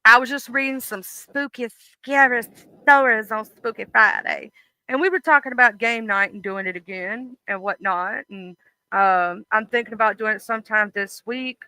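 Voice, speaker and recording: Livestream host, horror story narration then casual chat, very bright sharp tone, dry close sound, loose and chatty with ums. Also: medium pitch, moderate pace, clean tone, dry recording, rough recording. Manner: even, steady story narration, chatty with fillers and restarts.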